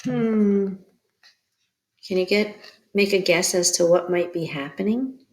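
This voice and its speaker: A woman reading a picture book aloud to children like a teacher, expressive and pausing to put questions to young listeners. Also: mid-range voice, roomy sound. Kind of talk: children's read-aloud storytelling.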